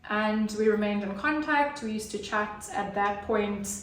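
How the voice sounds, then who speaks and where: A woman's voice: mid-range voice, unhurried, slight background noise. A woman, telling her personal story as an interview guest in an earnest, hesitant way with many fillers. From Zimbabwe. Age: 30s. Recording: roomy, distant mic.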